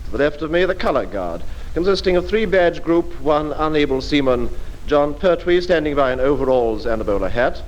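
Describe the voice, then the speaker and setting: Man playing a mock-solemn British parade announcer, somewhat high voice, measured delivery, old radio comedy, dull lo-fi sound. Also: expressive, slight background noise, close to an everyday voice. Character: formal naval parade announcer introducing the ship's company.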